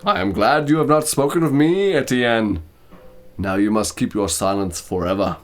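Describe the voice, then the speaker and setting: A man's voice: mid-range voice, normal speed, natural voice. Man voicing a grave old aristocratic British cat. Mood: grave, sighing. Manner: grave, formal, theatrical. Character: dignified, reserved old male cat, aristocratic father. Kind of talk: storybook reading with character voices.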